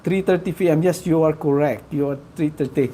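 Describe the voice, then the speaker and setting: A man hosting a casual live stream, talking to his viewers in a halting way with many fillers. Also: fairly high pitch, dark tone, a little hiss or hum. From Philippines. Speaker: livestream host.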